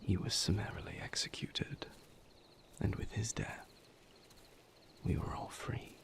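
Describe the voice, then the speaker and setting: A man playing a weary vampire lover who confides a painful past in a hushed, halting, solemn voice, as an intimate audio roleplay. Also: lightly acted. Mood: somber, reflective. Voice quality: hushed, breathy whisper with gravelly creak.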